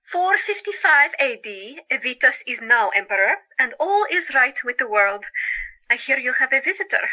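Woman playing a bright, very animated lady doctor. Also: high-pitched, normal speed, muffled recording, heavily acted. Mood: cheerful, teasing. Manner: animated, exclaiming. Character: joyous, colorful woman doctor in 1917, playfully theatrical, easily flustered. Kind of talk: audio drama acting.

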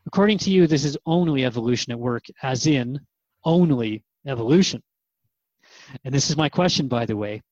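A man's voice: mid-range voice, animated, moderate pace, a little mellow, no room sound, dull audio. A man hosting a radio interview program, conversational and reflective as he addresses listeners and his guest. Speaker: radio host.

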